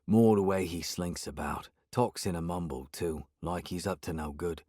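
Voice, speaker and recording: Man playing a terse nearsighted boardwalk local, low and hushed, in an audiobook. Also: moderate pace, no room sound, studio quality. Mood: laconic. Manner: low, hushed, clipped phrases.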